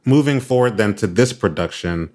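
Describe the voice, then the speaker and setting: Low-voiced relaxed male interviewer. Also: moderate pace. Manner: relaxed, laughs easily, thoughtful questions. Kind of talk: conversational interview.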